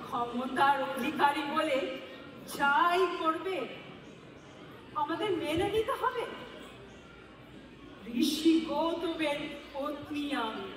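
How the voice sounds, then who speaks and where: An actress playing a proud, wronged mythological wife in a theatrical stage monologue, deliberate and bitterly ironic. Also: female voice, high-pitched, animated, fairly bright tone, heavily acted. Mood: bitter, sardonic. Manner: dramatic, deliberate, declamatory with bitter irony. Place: stage before a live audience. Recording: echoey hall, distant mic, audible crowd.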